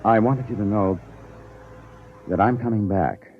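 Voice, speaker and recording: Deep-voiced, grave, aging space captain. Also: male voice, measured pace, a little hiss or hum. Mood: grave, tender. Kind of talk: 1950s radio drama acting. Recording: muffled vintage radio recording with music.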